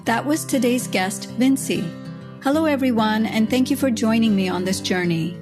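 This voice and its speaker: A woman's voice: fairly high pitch, normal speed. Earnest woman hosting a podcast. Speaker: podcast host. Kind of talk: podcast intro and interview. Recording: music bed under voice.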